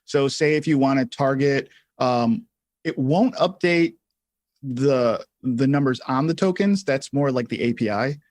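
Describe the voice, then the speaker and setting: A man co-hosting a livestreamed tutorial, talking informally and haltingly as he thinks aloud while explaining. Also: medium pitch, darkish tone, no room sound. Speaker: livestream co-host and software instructor. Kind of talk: casual live tutorial talk.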